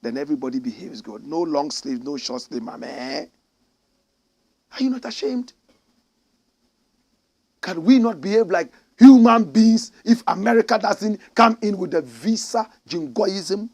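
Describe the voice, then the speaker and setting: A man from Ghana giving impassioned political commentary, preachy and emphatic, swinging from loud outbursts to low asides. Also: measured pace.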